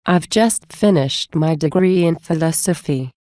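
A woman reading English example phrases for a language lesson, saying many of them twice in a measured way. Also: deep voice, a little mellow, no room sound, clean studio sound. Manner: measured, repeats each phrase slowly. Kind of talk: slow scripted phrase reading.

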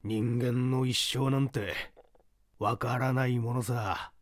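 A man's voice: mid-range voice, fairly even intonation, slow, dry recording, close to an everyday voice. A male narrator voicing a man who looks back on his reckless youth in an easygoing, reflective way. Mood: wry. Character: young man, later older and wry.

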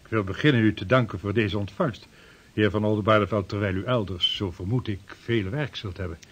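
An actor playing an earnest, ambitious veteran explorer in a Dutch radio drama, formal, respectful and persuasive. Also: male voice, fairly low pitch, fairly quick, fairly bright tone.

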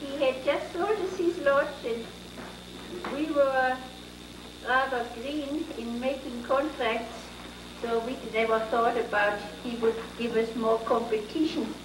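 A woman tells a careful, measured story as a panelist. Her voice is on the high side and sounds distant in a reverberant room. Noise hums behind her. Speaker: panelist, former lodge owner. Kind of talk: casual storytelling. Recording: echoey room from far off with background noise.

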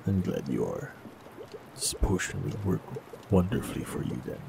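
Deep gravelly voice of a gentle man. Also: normal speed, noisy background. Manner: calm, gentle, reassuring. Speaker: warlock in an audio roleplay. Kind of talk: scripted audio roleplay, speaking to the listener.

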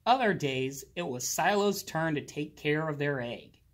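A man reading a children's picture book aloud expressively, drawing out words and pausing. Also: fairly high pitch. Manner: slow, animated, with drawn-out words and pauses. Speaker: children's storybook reader. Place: indoors, library with bookshelves.

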